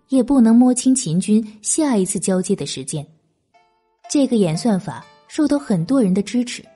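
A woman's voice: on the high side, mellow, slightly rough. A woman narrating a historical novel in scripted audiobook style. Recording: background music. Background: music.

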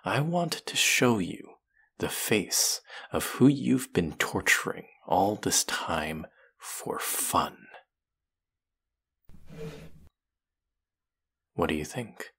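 A man playing a vengeful, brilliant fantasy villain in an audio roleplay, deliberate, sardonic and threatening, with an intimate delivery that can drop toward a whisper. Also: deep voice, very animated, vocal fry, sharp tone, husky, exaggerated voice. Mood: cold menace.

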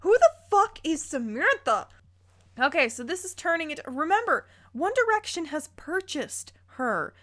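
Playful woman reading aloud, higher voice. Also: big pitch swings, moderate pace, sharp tone. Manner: playful, incredulous asides. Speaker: YouTuber reading fan fiction aloud. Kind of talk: dramatic reading with bemused commentary.

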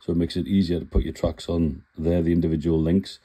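A man's voice: sits low, level tone, brisk, dark tone, breathy edge. A man with a cold, chatting plainly through a scale model build and often correcting himself. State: full of cold. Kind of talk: casual build tutorial.

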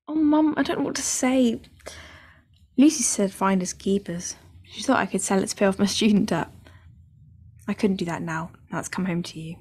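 Flustered, husky young student, played by a woman. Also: mid-range voice, normal speed, darkish tone, natural voice. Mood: stunned, tender. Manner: urgent, hesitant. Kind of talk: radio play acting.

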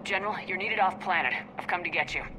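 An actress playing a determined human military commander in a video game, speaking in a firm, direct, businesslike way. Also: female voice, fairly low pitch, fast talker, background noise, close to an everyday voice. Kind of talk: video game cutscene acting. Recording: battlefield ambience behind.

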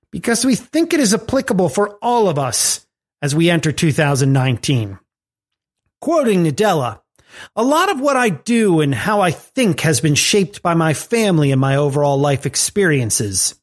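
A man hosting a tech and marketing podcast, reading a scripted segment with lively rising and falling emphasis. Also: high voice. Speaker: podcast host.

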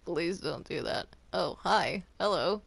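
Female gamer, lowish voice, casual roleplay banter, faint background noise. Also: expressive, slow pace, gravelly. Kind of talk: casual improvised Minecraft roleplay chat.

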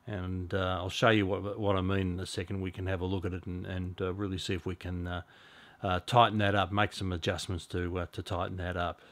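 A middle-aged man presenting a hobby video, explaining casually in a halting, unhurried way. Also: low-pitched, little pitch movement, a bit bright. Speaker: amateur radio hobbyist presenter. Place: small indoor radio room.

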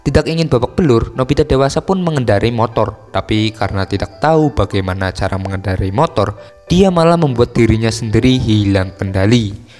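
A man's voice: lowish voice, expressive, a little fast, a little mellow, in a large hall. A man with an Indonesian accent narrating a story recap in a casual, scripted style. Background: music.

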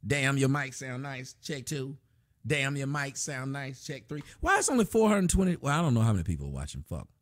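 A man's voice: medium pitch, very animated, a little fast, dry recording, clean studio sound. A man hosting a casual livestream from a small room at his desk, loosely reading donations and chat aloud with plenty of profanity. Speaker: livestream host.

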